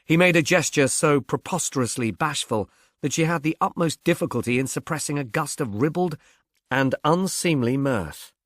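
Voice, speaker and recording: Measured male audiobook narrator, dry sound. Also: fairly high pitch, lively intonation, dry recording. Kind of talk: scripted narration of a British mystery novel.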